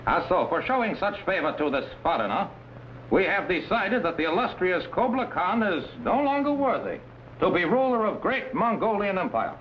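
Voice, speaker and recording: A man's voice: high voice, big pitch swings, normal speed. A man playing an ambitious, treacherous Chinese warlord, speaking grandiose, stilted English in an old adventure serial. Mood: scheming. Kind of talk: 1950s TV adventure serial acting. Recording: dull old broadcast recording with hiss.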